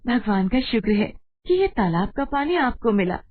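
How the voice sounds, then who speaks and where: A woman voicing an excitable, sharp-tongued village wife in a dramatized story, exclaiming dramatically. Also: on the high side, normal speed. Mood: amazed, delighted. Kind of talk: dramatized Hindi moral story acting. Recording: dull lo-fi audio with background noise.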